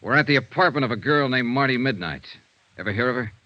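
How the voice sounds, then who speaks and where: An American man playing a sharp hardboiled insurance investigator in 1950s radio drama, crisp and clipped. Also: mid-range voice, animated, quick pace, no echo, close to an everyday voice. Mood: grave, direct. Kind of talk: 1950s radio detective drama acting and narration. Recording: dull vintage radio recording with music.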